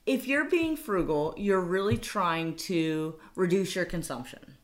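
Lively, low-voiced woman podcast host. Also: measured pace. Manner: laughs often, lively and chatty. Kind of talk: casual podcast chat.

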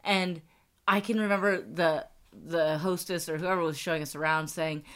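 A woman's voice: deep voice, moderate pace. A middle-aged woman co-hosting a casual podcast, chatty and bubbly, laughing often. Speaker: podcast co-host. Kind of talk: casual chat.

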